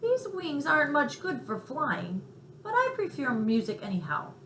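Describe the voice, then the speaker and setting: A woman's voice: high voice, huge pitch swings, normal speed, pitched above the performer's own voice. A woman voicing a timid, earnest young-sounding male country cricket in a storybook, shy and unsure of himself. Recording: roomy echo, faint background noise.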